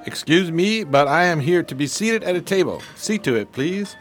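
Man playing a grandiose, animated genteel vagrant schemer. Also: fairly high pitch, moderate pace, faint music behind, lightly acted. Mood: polite, resolute. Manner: pompous, florid, formal diction. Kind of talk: radio drama acting.

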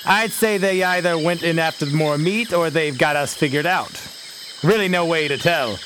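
A man playing a stern, defiant sea captain in a pirate radio drama, gruff and commanding. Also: fairly high pitch, moderate pace, noisy background, natural voice. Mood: wary. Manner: gruff, commanding, old nautical speech.

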